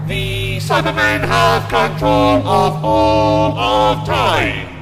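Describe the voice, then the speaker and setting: An actor playing a merciless robotic cyborg commander in a sci-fi audio drama, with flat, clipped statements through an electronic filter. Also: male voice, extremely high pitch, extremely slow, heavily acted. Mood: coldly threatening. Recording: reverberant hall, music behind.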